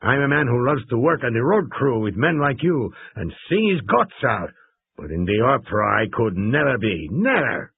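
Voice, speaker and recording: A man's voice: mid-range voice, very animated, moderate pace, vocal fry, breathy edge, exaggerated voice. A man voicing a theatrical, boastful male road worker with a Mexican accent who loves singing opera. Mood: fervent. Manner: theatrical, fervent. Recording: tinny phone-like radio sound.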